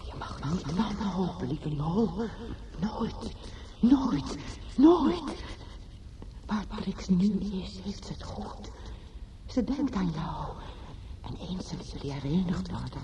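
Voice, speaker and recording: A slow-speaking, fervently tender spiritualist aunt. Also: female voice, lowish voice, very animated, crowd noise. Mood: fervent, consoling. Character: devout, doting aunt, earnest spiritualist consoler. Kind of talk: Dutch radio drama acting. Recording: noisy old radio recording.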